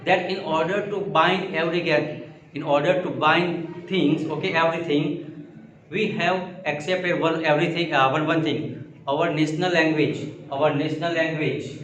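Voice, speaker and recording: The teacher one would hear in a bare, echoing classroom, repeating each point for schoolchildren. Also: male voice, on the high side, fairly even intonation, moderate pace, a bit bright, distant mic. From India. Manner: repetitive, step-by-step explaining with check-in questions. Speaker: school social science teacher. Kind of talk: classroom lesson for children. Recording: muffled, echoey room with noise.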